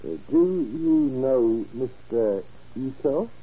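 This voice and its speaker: An actor playing a courteous, formal businessman buying drugs in 1940s radio drama, speaking in deliberate, stilted polite phrases. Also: medium pitch. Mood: smooth, courteous. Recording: muffled, husky old radio recording.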